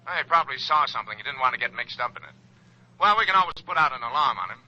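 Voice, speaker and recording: An actor playing a brisk, matter-of-fact police colleague in a 1940s radio drama. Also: male voice, medium pitch, animated, lightly acted. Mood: brisk, businesslike. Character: brisk, businesslike police colleague on the night desk. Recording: noisy, muffled old radio recording.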